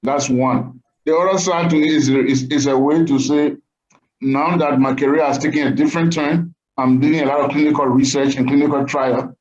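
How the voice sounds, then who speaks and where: A man's voice: mid-range voice, fairly even intonation, moderate pace, darkish tone. A man, a biochemistry professor, speaking in an emphatic, lecturing way as a panelist on a video call. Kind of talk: online panel talk. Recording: muffled video call audio.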